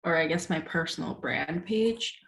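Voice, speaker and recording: A woman's voice: deep voice, normal speed, gravelly, fairly bright tone. A woman student speaking casually and tentatively in class, leaning often on "like" as she asks questions. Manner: tentative, frequent "like" as filler.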